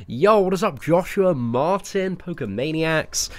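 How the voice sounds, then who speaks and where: A man's voice: fairly high pitch, expressive, a little slow, no echo. A young man live-streaming a mobile game, chatting casually with viewers while he plays, sounding a bit nasal from a cold. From England. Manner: chatty, many fillers, greets viewers by name. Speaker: gaming streamer.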